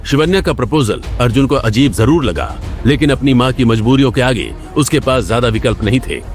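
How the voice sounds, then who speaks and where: A man tells a scripted drama as its narrator. His voice is mid-pitched and delivered in a dramatic storytelling manner, with light music running underneath. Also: expressive, fairly quick.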